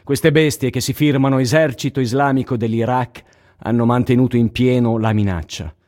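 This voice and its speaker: A man's voice: mid-range voice, fairly even intonation, moderate pace, darkish tone, close to an everyday voice. An actor playing a sombre male chronicler, narrating a scripted radio theatre piece. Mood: sombre, grave.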